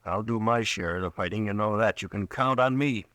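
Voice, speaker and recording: An actor playing an anxious, fussy small-town storekeeper in a 1950s radio drama. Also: male voice, lowish voice, fairly quick, fairly bright tone, dry recording, lightly acted. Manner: fussy, anxious, eager to please. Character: jittery small-town storekeeper, middle-aged man.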